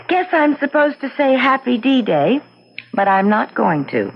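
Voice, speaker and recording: Breezy young woman, playful, swoopy pitch, bit of fry, muffled 70s radio drama. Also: animated, slow, heavily acted. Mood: breezy, teasing. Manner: playful, teasing. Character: Lucy, breezy young engaged daughter, affectionately teasing. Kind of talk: 1970s radio drama acting. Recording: dull lo-fi old radio broadcast.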